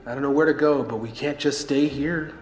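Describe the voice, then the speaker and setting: A man's voice: mid-range voice, fairly even intonation, fairly quick, natural voice. An actor playing a tense survivor of a zombie outbreak, narrating his escape in the first person for a radio play. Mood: urgent. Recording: loud music under the voice.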